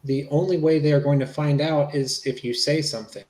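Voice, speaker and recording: A man's voice: a little high, steady tone, a little fast, a little mellow, lightly acted. A man playing a polite, reassuring police investigator in a conversational transcript reading, repeating himself as he goes. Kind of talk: dramatic reading of an interview transcript. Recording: echoey room.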